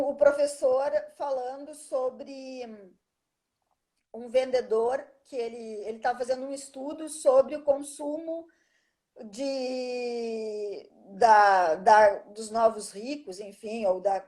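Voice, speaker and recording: A woman's voice: fairly high pitch, steady tone, slow pace, mellow. A middle-aged woman, a teacher chatting as an interview guest and telling a story at length, often checking in with the listener. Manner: chatty storytelling, frequent "né?" tag questions. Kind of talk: casual interview conversation.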